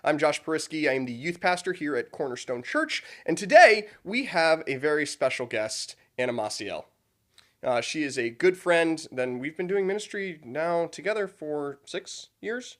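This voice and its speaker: A man's voice: on the high side, sing-song, normal speed, a bit bright. Joking young male podcast host. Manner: joking, chatty. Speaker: youth pastor and podcast host. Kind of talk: casual podcast chat.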